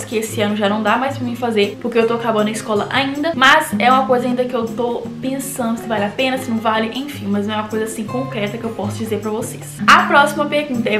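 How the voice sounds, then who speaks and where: A woman's voice: high-pitched, reverberant. An eighteen-year-old woman with a Brazilian Portuguese accent, chatting casually as she answers viewer questions in a vlog, full of fillers and "you know?" tags. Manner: chatty, many fillers like "tipo assim" and "entendeu?". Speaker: vlogger.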